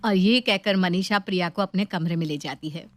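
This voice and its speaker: A woman's voice: on the low side, moderate pace, no room sound. A woman reading scripted narration as the storyteller of a domestic tale.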